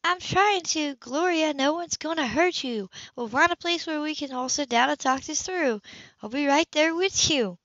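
An anxious, refined woman from a novel, acted out by a woman reader, speaks in a raised pitch. She pleads and reasons earnestly. The recording is dry and a little muffled. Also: moderate pace, a bit bright, no echo. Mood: pleading.

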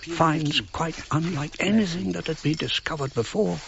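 An actor playing an elderly archaeologist narrating his memories in a radio drama, reflective, in short halting phrases. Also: on the high side, gravelly, fairly bright tone, highs cut off, slight background noise. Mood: reverent, reflective. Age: older. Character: elderly British archaeologist looking back on his life.

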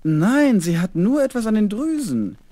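A man playing a kindly doctor and father in a children's radio play, playfully courteous and gently teasing. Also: high voice, sing-song, measured pace. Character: kindly fatherly doctor humoring his little daughter.

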